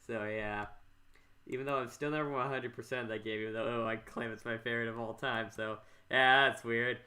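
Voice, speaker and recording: Young man, very bright sharp voice, medium pitch, halting delivery, casual podcast host. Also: fairly even intonation. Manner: halting, often repeating words.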